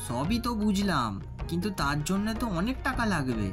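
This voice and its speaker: A man's voice: high-pitched, very animated, fairly quick. A man playing a greedy, two-faced, suspicious business partner in a cartoon story. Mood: agreeable. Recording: music under the voice.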